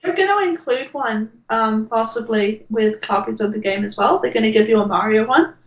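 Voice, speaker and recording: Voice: medium pitch, normal speed, reverberant, poor audio. A co-host in their twenties from Australia, chatting casually and mostly giving short reactions and questions. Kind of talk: casual livestream chat.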